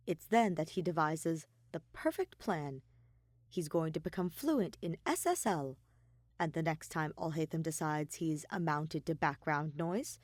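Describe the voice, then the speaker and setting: A woman reading a piece of fiction aloud as an expressive, emphatic narrator. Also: on the low side, no room sound, studio quality. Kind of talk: expressive scripted story narration.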